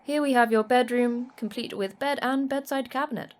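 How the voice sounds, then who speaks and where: A woman's voice: on the high side, moderate pace, a little hiss or hum, natural voice. A woman acting a curt, sardonic station manager. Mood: brusque, dry. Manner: curt, dry, sarcastic. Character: terse, sardonic woman managing a secret Antarctic facility. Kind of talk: audio fiction acting.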